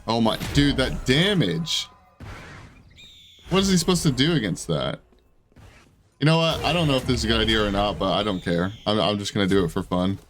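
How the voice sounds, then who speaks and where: A man's voice: on the low side, lively intonation, fairly quick, pauses often, soft background music. A man giving casual, rambling gameplay commentary in slangy gamer talk, sounding congested, with his voice slightly giving out. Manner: slangy, rambling, self-deprecating, says dude and bro. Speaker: gaming YouTuber.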